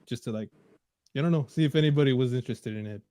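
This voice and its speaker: A man's voice: medium pitch, fast talker, mellow, no echo. Laid-back man rambling, dry close sound. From Central Valley, California. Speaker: podcast co-host. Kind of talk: casual podcast chat. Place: home, LA area.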